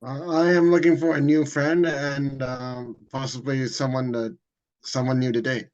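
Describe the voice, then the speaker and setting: A man's voice: mid-range voice, level tone, normal speed, a little mellow, dull audio. A man in his forties introducing himself hesitantly, opening with fillers. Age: 40s. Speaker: caller. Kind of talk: casual self-introduction.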